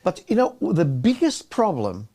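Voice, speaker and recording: A man's voice: high-pitched, huge pitch swings, a little slow, dark tone. A man co-hosting a casual business chat, often restarting and repeating words as he talks. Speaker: co-host.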